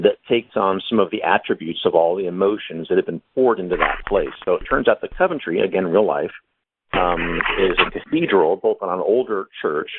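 A man, an author on a panel, explaining his story at length with thoughtful pauses and many fillers. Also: low-pitched, slight background noise. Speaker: author guest. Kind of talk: casual panel discussion. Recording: tinny phone line.

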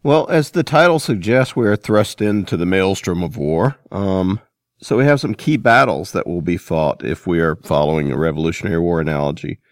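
A man hosting a casual interview, speaking in a halting, thoughtful way. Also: deep voice, no echo, studio quality. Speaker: podcast host.

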